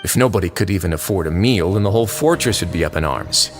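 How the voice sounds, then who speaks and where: Calm prison warden, lowish voice, measured, wry, faint game music. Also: male voice. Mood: reasoning. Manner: measured, smooth, reasoning. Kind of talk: game voice acting.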